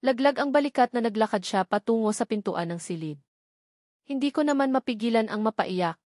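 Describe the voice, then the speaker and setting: A woman's voice: fairly high pitch, lively intonation, a little fast, a little mellow, no room sound, clean studio sound. A woman with a Filipino accent narrating a romance novel as a dramatic scripted audiobook.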